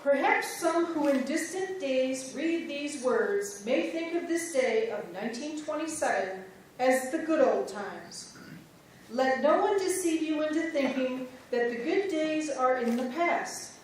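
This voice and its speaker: High-voiced woman reading solemnly in a church. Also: animated, measured pace, a little hiss or hum. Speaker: reader. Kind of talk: formal reading of a historical letter. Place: church sanctuary. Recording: echoey church sanctuary, distant mic.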